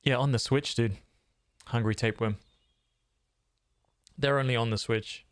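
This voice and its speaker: A man's voice: mid-range voice, fairly even intonation, a little slow, no room sound. A man streaming games, chatting casually in a laid-back, informal way into a close microphone. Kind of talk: casual livestream chat.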